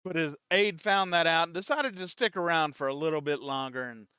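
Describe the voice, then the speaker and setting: Bright-voiced man, casual reaction host, dry sound. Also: on the high side, fairly quick, clear, resonant tone, no echo. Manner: rambling, loose, conversational. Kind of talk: casual recap and reaction commentary.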